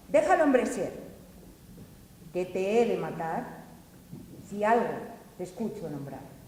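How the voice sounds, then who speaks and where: A woman playing a stern father on a school stage, declaiming verse slowly and threateningly, recorded from the back of a large hall. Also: fairly high pitch, darkish tone, slight background noise. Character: stern father forbidding his daughter's studies. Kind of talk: amateur stage acting in verse. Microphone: far camera. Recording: echoey hall recorded from far off.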